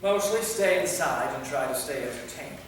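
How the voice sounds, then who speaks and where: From far off in an echoing hall comes a man acting as a medieval vampire knight in a stage comedy. He is dry and terse, his voice on the higher side. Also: animated, moderate pace, close to an everyday voice. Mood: dry, impatient. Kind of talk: staged reading of a comic play. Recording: echoey hall from far off, noisy.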